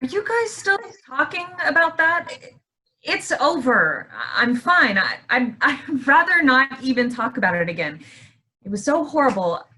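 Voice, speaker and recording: A woman's voice: high-pitched, sing-song, unhurried, sharp tone, full character voice. An actress playing a shaken, touchy woman in a staged play reading. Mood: brittle, dismissive. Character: shaken, touchy woman insisting she is over it.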